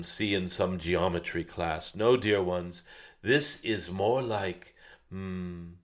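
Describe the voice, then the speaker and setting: Casting: male performer as an ancient, benevolent dragon spirit; lowish pitch, very unhurried, solemn channeled address with pensive hums. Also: a bit bright. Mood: gentle, wondering. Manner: measured, deliberate, frequent pauses and thoughtful hums.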